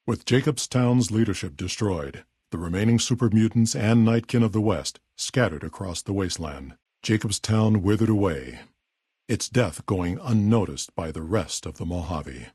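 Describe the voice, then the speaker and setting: Big super mutant boss for a video game, deep raspy voice, super dry sound, blunt and weary. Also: male voice, lively intonation, a little mellow, no echo. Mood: somber, narrating. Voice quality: deep, gravelly, husky. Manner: blunt, measured, authoritative. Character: gruff, weary, level-headed super mutant town leader. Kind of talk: video game character voice lines.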